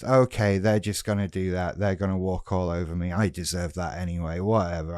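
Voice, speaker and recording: A British man with a head cold and a rough voice, talking frankly and bluntly in a casual monologue about mental health, with some swearing. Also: deep voice, no room sound, clean studio sound. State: has a cold, sounds rough.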